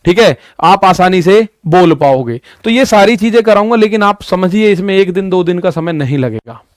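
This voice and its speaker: Young male maths tutor, high voice, dry close sound, repeats key points, talks straight to students. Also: expressive, normal speed, no echo. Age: 20s. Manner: repetitive, addresses students directly with "ठीक है" and "भाई"; explains with everyday examples. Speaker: maths teacher. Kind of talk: exam-prep maths lesson.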